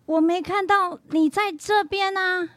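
A woman's voice: very high voice, steady tone, a bit bright, no echo. A woman playing a befuddled, hesitant old lady in a radio drama. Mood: confused. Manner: slow, confused, halting. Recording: clearly noisy background.

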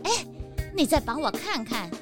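A woman voicing a flustered elderly hen granny shopkeeper in a children's audio drama, clucking and fretting with drawn-out exclamations. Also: high-pitched, very animated, over music. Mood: defensive.

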